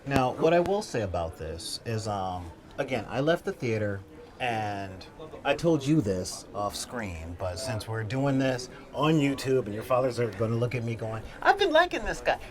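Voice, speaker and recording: This middle-aged man talks over a steady murmur of crowd noise, with a little wind on the mic. His talk is casual panel chat, and he tells his story with animation, slipping into quoted voices. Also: mid-range voice, moderate pace. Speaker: panel guest.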